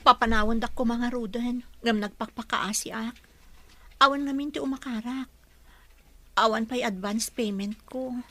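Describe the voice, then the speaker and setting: A woman's voice: fairly high pitch, fairly even intonation, normal speed, sharp tone. A woman with an Ilocano accent playing a weary, pleading young mother who works as household help, in a radio-style drama. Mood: pleading, hurt.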